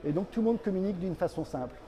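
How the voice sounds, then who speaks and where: A man speaking as a company representative in an interview, explaining products in a halting way and repeating words. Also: high voice, expressive, dark tone.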